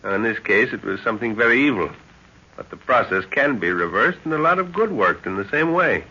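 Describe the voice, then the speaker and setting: Husky, animated fatherly professor. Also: mid-range voice, brisk. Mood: calm, thoughtful. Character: fatherly English-speaking professor and explorer, hearty and thoughtful. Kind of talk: 1930s radio acting. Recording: muffled 1930s radio recording.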